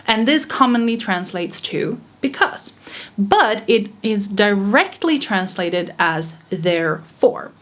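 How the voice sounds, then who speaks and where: A young woman from Sweden with a Swedish accent talks frankly and casually as a vlogger giving writing advice, laughing often and swearing now and then. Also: fairly low pitch.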